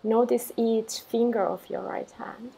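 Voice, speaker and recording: A woman teacher leading a guided meditation in a calm, unhurried manner, giving simple instructions with gentle pauses. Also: a little high, vocal fry, slight background noise, low-quality recording.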